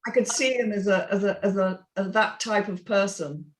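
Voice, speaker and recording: A woman's voice: medium pitch, moderate pace, slight background noise. A woman moderating a casual feedback discussion, speaking informally and calling on others.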